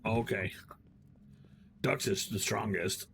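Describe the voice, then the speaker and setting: A man's voice: fairly low pitch, steady tone, takes it slowly, vocal fry, over a noisy crowd. A man in casual gaming chat, commenting as he plays. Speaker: gamer commenting during play.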